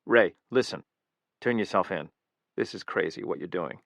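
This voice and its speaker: A man's voice: sits low, normal speed, creaky, a bit bright, no echo, clean studio sound, voice pushed down, full character voice. A man voicing a frightened male security chief who pleads with a voice that cracks upward. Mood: pleading.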